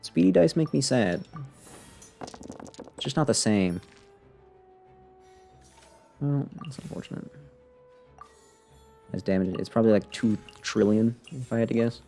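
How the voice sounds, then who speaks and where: A man's voice: medium pitch, measured pace, vocal fry, pauses often, mellow, soft background music. A man doing casual live game commentary, grumbling wryly and talking to himself and the game. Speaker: game streamer. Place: live stream, game music behind the voice.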